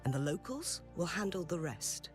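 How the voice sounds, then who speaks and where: A woman's voice: fairly low pitch, sing-song, normal speed. An actress voicing a dignified noble lady of authority in a video game, formal and composed. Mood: composed, commanding. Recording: music behind the voice.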